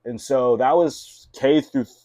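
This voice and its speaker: A man's voice: medium pitch, steady tone, a little slow, mellow, no room sound. A young man whose first language was Mandarin, hosting a casual interview chat and rambling with lots of fillers and restarts. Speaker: podcast host.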